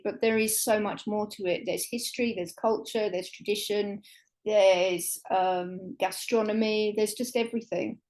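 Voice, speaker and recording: A woman's voice: mid-range voice, poor audio. A woman, a travel writer chatting enthusiastically as an interview guest, with many fillers. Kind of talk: conversational podcast interview.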